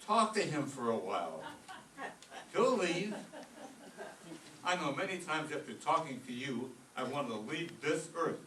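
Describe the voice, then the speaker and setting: A man's voice: fairly high pitch, sing-song, a little slow, pauses often, bright, forceful tone, full character voice. A man playing a scornful, wisecracking, blustery office boss in an old-time radio comedy. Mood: sarcastic. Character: exasperated, sarcastic male law-office boss. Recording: roomy, distant stage recording with faint noise.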